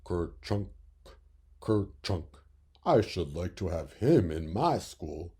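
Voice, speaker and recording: A man voicing an old schoolteacher frog, croaky and schoolmasterly, gruff but kindly, in a children's storybook. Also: low voice, big pitch swings, takes it slowly, mellow, full character voice. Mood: knowing.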